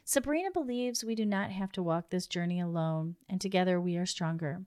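A woman's voice: fairly low pitch, a little fast, clean tone. A woman hosting a podcast, reading a prepared guest introduction and then chatting casually over a video call. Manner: warm, reads a scripted intro then chats. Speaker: podcast host. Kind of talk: podcast interview.

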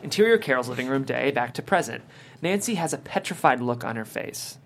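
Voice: mid-range voice, animated, brisk. A narrator reads stage directions and scene headings aloud at a script table read. The voice sits in a middle register. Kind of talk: reading screenplay stage directions.

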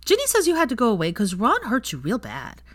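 A woman voicing an eager, excitable six-year-old wizard boy in an audiobook story, gushing and emphatic. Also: on the high side, quick pace, no room sound, studio quality, voice pushed up. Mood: earnest.

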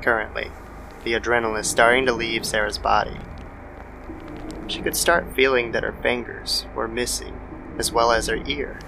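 A man's voice: mid-range voice, fairly even intonation, moderate pace, gravelly. A man narrating a scripted horror story as an old-time style radio drama. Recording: noisy background ambience.

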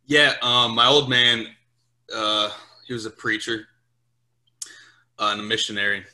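A man's voice: medium pitch, fairly even intonation, a little slow, pushed and bright, low-quality recording. A man, a Portuguese speaker, chatting informally in an interview. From Brazil, later Portugal, England and Louisiana. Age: 20s. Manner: informal, swearing, checks in with "right?". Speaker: podcast guest, US Marine sergeant. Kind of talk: casual interview chat.

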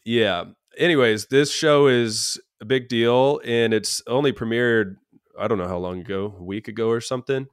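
A young adult man with a gravelly, hoarse voice from a sinus infection, hosting a podcast and leading a relaxed, chatty talk. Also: mid-range voice, moderate pace, no room sound, studio quality. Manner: easygoing, chatty, leads the topics. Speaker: podcast host. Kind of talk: casual chat.

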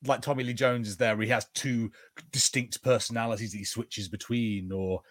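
A man's voice: medium pitch, fairly even intonation, a little fast, a bit bright, dry recording. A man co-hosting a casual watch-party commentary, chatting informally about a film. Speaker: podcast co-host.

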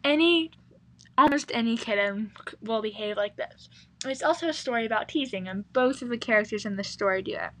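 Female kids' podcast host, very high pitch, bright forward tone, presenting to listeners. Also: brisk, poor audio. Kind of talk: scripted children's podcast talk.

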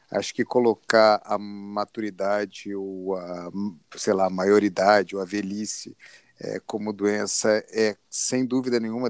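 A man's voice: lowish voice, dry recording. Brazilian man thinking aloud, dry close sound. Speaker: panelist. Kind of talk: reflective discussion.

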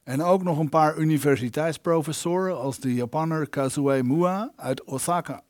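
An actor playing the indignant ghost of an elderly film maker in an audio drama, wordy, aggrieved and theatrical. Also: male voice, on the high side, moderate pace, a little mellow, no echo, clean studio sound, natural voice.

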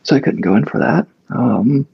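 A man's voice: medium pitch, expressive, moderate pace, gravelly, breathy edge, dull audio. A male actor speaking as an interview guest, telling a long story casually with many fillers and restarts.

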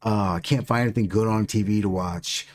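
A man's voice: on the low side, fairly even intonation, brisk. A middle-aged man who stutters and has a lisp, talking casually and with plenty of swearing as a podcast co-host. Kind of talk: casual chat.

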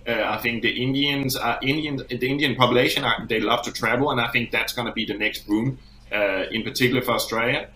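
A man's voice: mid-range voice, steady tone, fairly quick, pushed and bright. A man from Denmark talking as an industry guest in a conversational interview, often repeating words as he thinks aloud. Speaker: hospitality industry expert guest. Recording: roomy, echoey sound.

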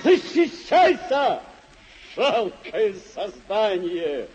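Pompous deluded knight, radio drama, high voice, very animated, theatrical, grandiose, hot-tempered. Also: male voice, very slow, music in the background, exaggerated voice. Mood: commanding, threatening. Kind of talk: old radio drama acting.

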